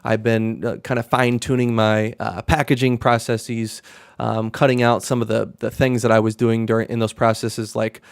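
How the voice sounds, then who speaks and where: A young man hosting a casual live stream, talking in a rambling, monotone way with many fillers and restarts. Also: mid-range voice, normal speed, clear, resonant tone, dry recording, studio quality. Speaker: live stream host, card seller.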